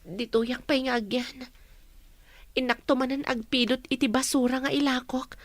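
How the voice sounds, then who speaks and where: Give me a humble orphaned young woman with a very high, breathy, husky voice. She is plaintive and grateful, speaking with an Ilocano accent in radio-drama style. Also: fairly even intonation, normal speed, dry recording. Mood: wistful, muttering.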